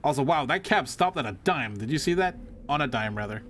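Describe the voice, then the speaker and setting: A man's voice: mid-range voice, expressive, fairly quick, a bit bright, slight background noise. A man streaming a video game, giving wry, joking asides between lines of dialogue he reads aloud. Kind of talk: live gaming commentary, reading dialogue aloud in voices.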